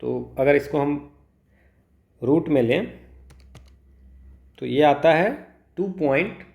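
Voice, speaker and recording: Slow-paced Indian male tutor, echoey room. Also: fairly high pitch, creaky, darkish tone. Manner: mixes Hindi and English, asks himself questions then answers. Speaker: physics tutor. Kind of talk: step-by-step exam problem walkthrough.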